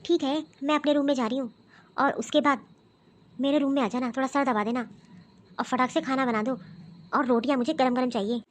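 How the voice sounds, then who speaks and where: A scheming, domineering older mother-in-law played by a woman in a homemade family drama. Her voice sits high, and her tone is commanding and grumbling as she barks orders and complains. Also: fairly even intonation, a little fast, pauses often. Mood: bossy. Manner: scolding, commanding, grumbling to herself. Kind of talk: family skit acting.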